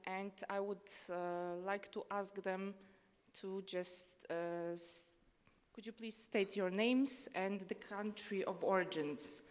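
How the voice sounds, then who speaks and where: Slow hesitant woman in a reverberant hall. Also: mid-range voice. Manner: slow, hesitant, many fillers. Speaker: moderator. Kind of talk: panel discussion moderating. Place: conference hall.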